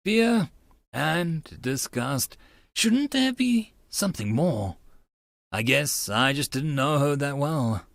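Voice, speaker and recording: Man playing a young anime student, melodramatic, halting, animated pitch swings, slow deliberate pacing, visual novel narration. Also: gravelly, dry recording, studio quality, heavily acted. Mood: shaken. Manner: dramatic, halting, emphatic delivery.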